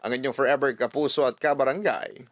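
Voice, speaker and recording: A man's voice: medium pitch, steady tone, fast talker, no room sound. A man with a Filipino accent earnestly narrating a sentimental listener's letter as a radio drama host.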